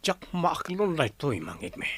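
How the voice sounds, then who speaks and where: A man's voice: on the high side, sing-song, measured pace, fairly bright tone, breathy edge, no room sound, heavily acted. A man playing a hot-tempered wealthy man in a radio drama, speaking angrily. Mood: angry. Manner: angry, threatening.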